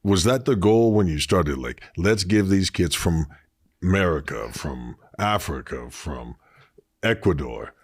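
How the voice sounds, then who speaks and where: A middle-aged man with a very low, husky voice hosts an interview, building enthusiastic, long-winded questions for his guest. He is recorded close and dry, with no room sound around him. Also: expressive, a little slow, no echo. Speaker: podcast host. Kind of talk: interview conversation.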